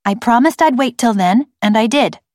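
A woman's voice: on the high side, normal speed, a little mellow, no echo, studio quality, pitched above the performer's own voice, close to an everyday voice. A woman as an earnest, defiant girl. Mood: matter-of-fact. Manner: assertive, argumentative. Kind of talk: audiobook character voice.

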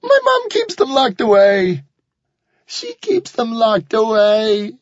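Narrator doing scared kid, high shaky pleading voice, crying. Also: male voice, wildly swooping pitch, takes it slowly, dry recording, muffled recording, full character voice. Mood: terrified. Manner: pleading, sobbing. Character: terrified young boy, weeping victim.

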